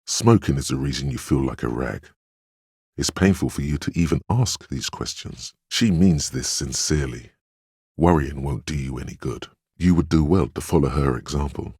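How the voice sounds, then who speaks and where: A man voicing a video game character's inner voice of willpower, solemn, measured and reassuring, giving earnest counsel. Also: very deep voice, animated, darkish tone, breathy edge, no room sound, studio quality, exaggerated voice. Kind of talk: scripted inner-voice narration for a role-playing game.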